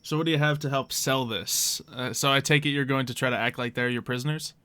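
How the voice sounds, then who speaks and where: A man's voice: mid-range voice, steady tone, fast, bright, forceful tone, smooth. A man acting as game master, casually narrating and improvising a tabletop roleplay game.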